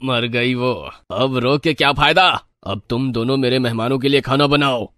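A man's voice: medium pitch, normal speed, fairly bright tone, close to an everyday voice. Man acting scornful, greedy old rich villager. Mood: angry. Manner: scornful, bossy.

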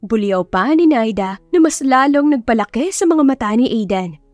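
A woman's voice: on the high side, lively intonation, moderate pace, a little mellow, dry recording. A woman reading a scripted story as an audiobook narrator, in a dramatic storytelling style. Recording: music behind the voice. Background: background music.

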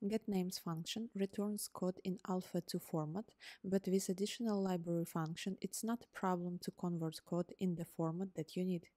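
A woman whose first language is Ukrainian, calmly narrating a scripted coding tutorial step by step as host. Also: fairly low pitch, no echo, studio quality. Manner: calm, step-by-step explaining. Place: voice-over on screen recording.

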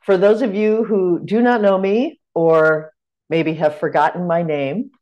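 A middle-aged woman with an American accent, leading a teaching workshop over a video call from a room at home. She talks in a measured, welcoming way, the tone of an online lecture. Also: on the low side, fairly even intonation, a little mellow, muffled recording. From United States.

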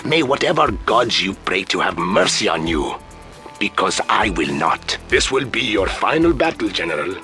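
An actor playing a proud, menacing guerrilla commander in a video game, with a theatrical, pompous, taunting delivery. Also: male voice, deep voice, sing-song, moderate pace, sharp tone, faint music behind, heavily acted. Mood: gloating menace. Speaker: villain general taunting the player.

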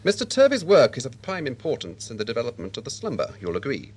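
Male actor as gloating sci-fi villain, mid pitch, animated, suavely pompous. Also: quick pace, slight background noise. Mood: smug. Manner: pompous, mock-polite. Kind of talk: audio drama acting.

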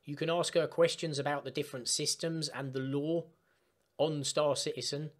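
A man chatting casually through a step-by-step software tutorial for gamers. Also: on the high side, level tone, normal speed. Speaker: gaming tutorial host. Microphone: desktop microphone, voice-over of screen capture.

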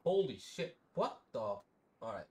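A man's voice: mid-range voice, sing-song, unhurried, a little hiss or hum. A man chatting casually while streaming a game, swearing freely and repeating phrases playfully. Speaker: live streamer playing a roleplay game.

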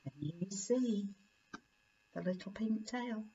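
A middle-aged woman reading a children's picture book aloud in a deliberate, expressive way at home. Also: medium pitch, breathy edge, muffled recording. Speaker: story reader. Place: living room at home, indoors.

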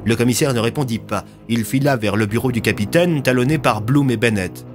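A young man narrating scripted audio fiction as a storyteller, with soft music behind him. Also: mid-range voice, brisk. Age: young adult.

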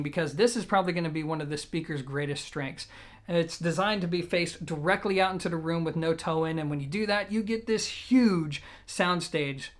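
A man in his thirties giving a casual, conversational product review to camera, explaining things in a measured way. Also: fairly high pitch. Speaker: audio gear reviewer. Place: small room indoors.

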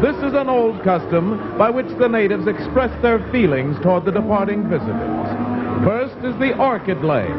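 A high-voiced man narrates a 1950s travelogue in grand, flowery phrases. The old TV soundtrack is muffled, with loud music playing under him. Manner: grandiose, flowery, measured delivery.

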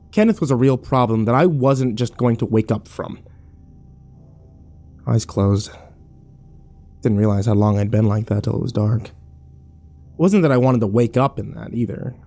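A man's voice: medium pitch, warm tone, close to an everyday voice. An actor playing a weary, irritable hospital patient who tells his story in a sullen, sardonic first-person horror narration.

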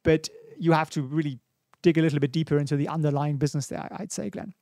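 A man's voice: a little high, quick pace, creaky, dark tone, dry recording. A man in his forties with a German accent, casually commenting on stock charts in a home studio on a studio mic, thinking aloud and reading viewer questions. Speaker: stock market livestream host. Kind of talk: casual live market commentary. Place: small home studio, indoors. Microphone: studio mic on boom in front of face.

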